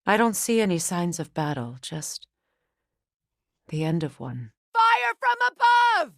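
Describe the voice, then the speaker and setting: A woman's voice: on the low side, wildly swooping pitch, vocal fry, a bit bright, no echo, exaggerated voice. A proud witch goddess from a video game, recorded close and dry. She speaks formally and earnestly, always guarded, like someone used to command. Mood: urgent battle cries. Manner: measured, formal, earnest delivery. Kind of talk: video game character dialogue.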